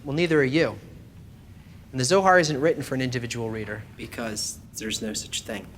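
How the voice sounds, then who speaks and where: A man's voice: medium pitch, fairly even intonation, fairly quick, a bit bright, a little hiss or hum. A man giving an explanatory spiritual lesson in a conversational but scripted way, speaking directly to the listener and posing rhetorical questions. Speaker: lecturer. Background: background music.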